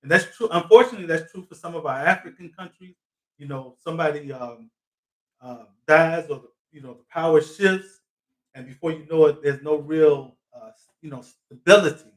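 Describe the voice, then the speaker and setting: A man's voice: a little high, normal speed, a bit bright, poor audio. Thoughtful male talk show host. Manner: thoughtful, with frequent fillers and reflective asides. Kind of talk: live talk show interview.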